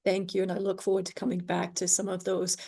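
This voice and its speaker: A woman moderating a panel on a video call, sharing a personal reflection in a measured way. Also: on the low side, monotone, vocal fry, dark tone. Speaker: moderator, host.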